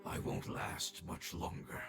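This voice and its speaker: An actor playing a dying, solemn ancient guardian in a video game, with a breathy, weary whisper. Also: male voice, slow, natural voice. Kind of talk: dramatic video game voice acting. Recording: echoey reverberant voice under loud music. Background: loud game music.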